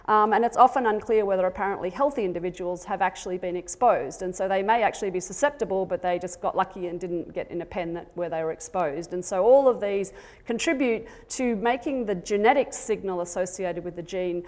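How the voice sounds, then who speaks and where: An Australian woman presents to a conference audience in a mid-pitched voice, neither high nor low for a woman. She explains technical material step by step in an academic lecturing manner. A light joking touch comes through now and then. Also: a little fast. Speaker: university researcher presenting at a conference. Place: conference session before an audience.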